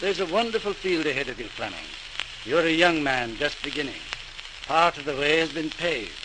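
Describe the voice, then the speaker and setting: An actor playing an older, encouraging, authoritative British medical mentor in oratorical 1940s radio style. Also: male voice, fairly high pitch, expressive, normal speed, natural voice. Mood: earnest, rousing. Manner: earnest, oratorical. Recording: noisy, crackly 1940s radio transcription.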